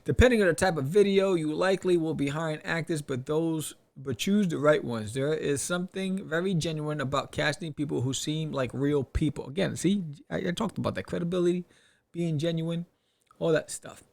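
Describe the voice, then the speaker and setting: A man hosting a podcast, giving casual advice and reading aloud with his own commentary, animated and punctuating points with rhetorical questions. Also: on the high side, moderate pace, gravelly. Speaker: podcast host.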